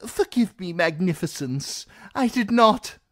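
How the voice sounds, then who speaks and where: A man voicing a fawning male seneschal with a shrill, whining voice. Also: wildly swooping pitch, slow, dry recording, clean studio sound, heavily acted. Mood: shrill alarm.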